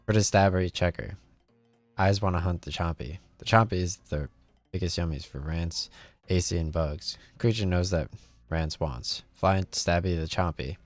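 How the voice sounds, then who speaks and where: A man's voice: sits low, moderate pace, dry recording, soft background music, close to an everyday voice. A man voicing a hungry, simple-minded ogre hunter from a game, in blunt broken grammar. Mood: eager, demanding. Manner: blunt broken-grammar speech, reading game dialogue aloud.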